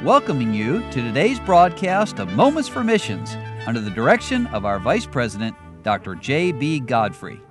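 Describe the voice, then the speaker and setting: A man's voice: medium pitch, huge pitch swings, moderate pace, few pauses. A man giving the formal scripted opening and closing of a religious radio program. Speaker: announcer, president of a mission board. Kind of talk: scripted program intro and outro. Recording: voice over clear instrumental music.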